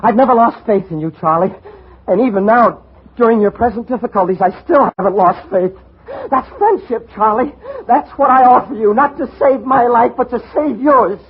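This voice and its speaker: An actor playing a desperate, fast-talking schemer on the run in an old-time radio thriller, with a smooth, wheedling way of pleading. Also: male voice, very high-pitched, exaggerated voice. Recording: muffled, noisy old radio drama recording.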